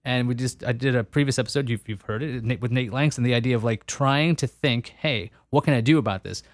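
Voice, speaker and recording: A male podcast host talks through his thoughts, often restarting his sentences. His voice sits at a middle pitch and sounds dry and close, with no room echo. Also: fairly quick. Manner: musing, thinks aloud with frequent restarts. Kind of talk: casual interview conversation.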